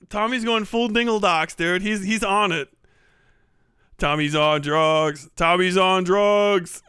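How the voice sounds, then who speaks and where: A man's voice: high-pitched, bright, forceful tone, clear, resonant tone, no room sound, clean studio sound. A man hosting a casual livestream, chatting and replying to viewers in slangy, profane language. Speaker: livestream host. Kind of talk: casual livestream chat, reading and answering viewer comments.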